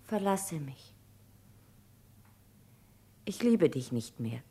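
A woman's voice: low voice, very animated, drawn-out words, mellow, slightly rough, full character voice. An actress playing a despairing young woman full of self-loathing in a German radio drama. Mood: anguished rejection.

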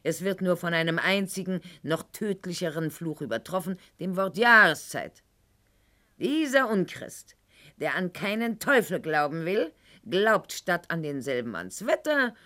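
A woman's voice: sits low, fairly bright tone, full character voice. An actress playing a proud, bitter, sickly aristocratic lady in a theatrical radio monologue, with mocking, precise, formal diction.

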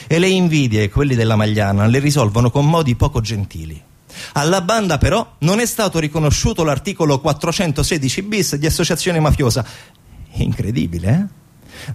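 A man's voice: a little high, husky. A man delivering dramatic scripted narration as a theatre narrator, emphatic and ironic. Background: music.

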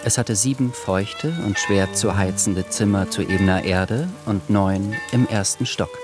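An actor serving as a sober, observant narrator, reading literary scene descriptions in a radio drama. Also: male voice, low voice, lightly acted.

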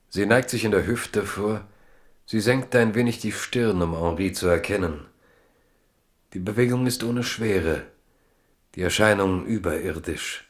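A man narrating a 1970s German radio drama in a measured, dramatic, literary storytelling style. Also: sits low.

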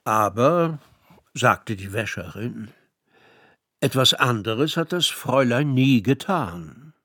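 Obliging manservant, mid-pitched. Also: male voice, animated, slow, a bit bright, no echo, studio quality, exaggerated voice. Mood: dutiful reporting. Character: obliging male servant reporting scandalous findings to his master. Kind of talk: radio drama letter monologue.

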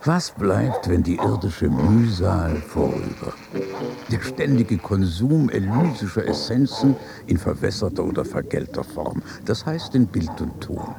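An elderly noble statesman for radio drama. His deep-set voice is gravelly and crackles at the edges. He declaims with pompous, dry irony, like someone weary of the world he judges. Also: very animated, a little slow, heavily acted. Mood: pompous, wry. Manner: pompous, sardonic, ornate declamation. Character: aristocratic old statesman father in heaven, wry and world-weary. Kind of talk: literary radio drama dialogue.

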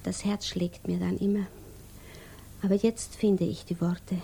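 An actress playing a lovesick, restless young widow who thinks aloud intimately in a 1950s radio drama. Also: female voice, lowish voice, flat delivery, a little slow, creaky, warm tone, slight background noise. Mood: yearning. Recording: 1950s radio recording, music behind.

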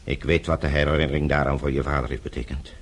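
An actor playing a weary, bitter older politician from behind the Iron Curtain in a radio play, brooding and confessional. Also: male voice, extremely low pitch, steady tone, fast. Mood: bitter, anguished. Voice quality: gravelly, husky. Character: weary, bitter older Eastern Bloc politician, guilt-ridden. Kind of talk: radio drama acting.

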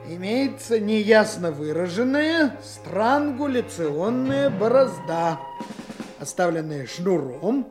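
High-voiced, deliberate police investigator. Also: male voice, very animated, music in the background, full character voice. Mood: official, deliberate. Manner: brisk, instructing, deliberate dictation. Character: brisk male senior criminal investigator, commanding but patient. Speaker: actor. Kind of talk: Soviet radio drama acting.

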